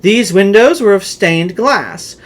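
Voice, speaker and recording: A narrator reading a horror tale in a theatrical, dramatic way with drawn-out emphasis. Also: medium pitch, lively intonation, a little hiss or hum.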